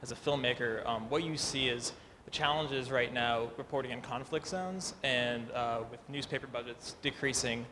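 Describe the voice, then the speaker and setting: A man asking an informal question from the audience as a reporter, with halting, tentative phrasing. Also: medium pitch. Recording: echoey hall, clear background noise.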